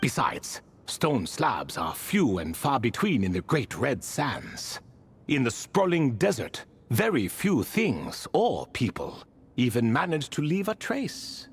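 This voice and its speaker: A man's voice: mid-range voice, huge pitch swings, slow pace, husky, full character voice. A man voicing an ancient, whimsical and prideful sealed ibis spirit king in a video game, telling tales in grand archaic phrasing. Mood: reflective. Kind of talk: scripted game dialogue.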